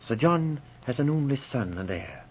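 A muffled vintage radio recording of a gentleman doctor. He is earnest and careful, confiding with some hesitation in a husky voice of middling pitch. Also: expressive, normal speed. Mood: reluctant, confiding. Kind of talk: 1940s radio drama acting.

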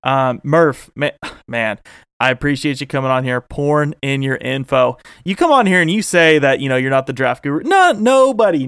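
A man's voice: fairly high pitch, fairly bright tone, clear, resonant tone, no room sound, studio quality. A man hosting a casual fan podcast, enthusiastic and rambling, laughing often, his voice getting a little worn. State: losing his voice. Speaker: podcast host.

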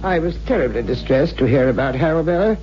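Actor as a mellow, lilting older scholar. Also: male voice, a little high, animated, fast, faint music behind, close to an everyday voice. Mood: sceptical, puzzled. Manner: courteous, hesitant, avuncular. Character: kindly, sceptical older scholarly family friend. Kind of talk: 1960s radio drama acting. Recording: dull old radio recording, faint hum.

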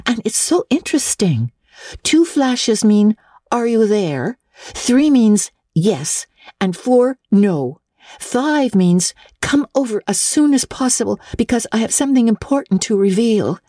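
A woman's voice: on the high side, sing-song, measured pace, warm tone, no echo, studio quality, pitched above the performer's own voice. A woman voicing an eager, imaginative young girl in a storybook reading, breathless, gushing and earnest as she pleads. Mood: excited, gushing. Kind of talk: dramatic audiobook reading of classic literature.

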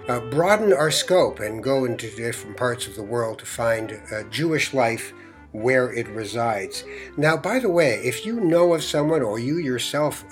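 A middle-aged man born in Morocco, hosting a podcast interview in a relaxed, meandering way with frequent fillers. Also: mid-range voice. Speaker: podcast host. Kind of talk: conversational interview.

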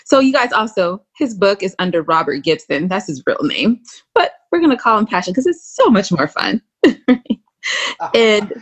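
A woman's voice: medium pitch, big pitch swings, moderate pace. A woman hosting a casual podcast interview, talking in an informal, flirtatious and teasing way. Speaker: podcast host.

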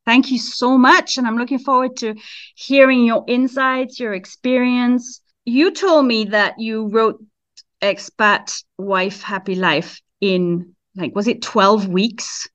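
A woman's voice: on the high side, fairly even intonation, slow pace. Woman podcast host, slightly dull sound. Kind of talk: podcast presenting and interviewing.

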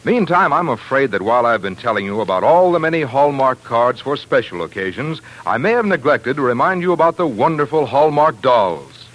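A man's voice: mid-range voice, a bit bright, rough recording. An American man working as a polished, salesmanlike announcer reading adverts on 1940s radio.

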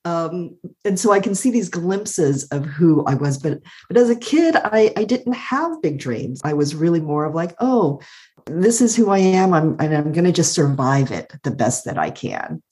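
A middle-aged woman with a calm, soothing voice, thoughtfully telling her life story as an interview guest and laughing often. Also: on the low side, moderate pace. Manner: warm, thoughtful, laughs often, uses "like" a lot. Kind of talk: reflective personal storytelling.

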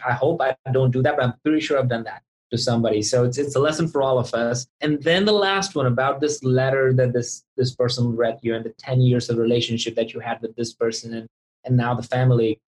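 A podcast host speaks at a medium pitch in a conversational interview style. The delivery is earnest and encouraging, circling back to repeat key phrases as it sums things up. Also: poor audio. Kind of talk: conversational interview, reflective recap.

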